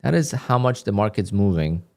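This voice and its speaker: A man doing live commentary on his trading session, talking to viewers in a flat, dry way that sounds a bit annoyed even when he is content. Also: fairly low pitch, moderate pace, creaky. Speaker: day trader livestreamer. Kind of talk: live stream commentary, reading donations.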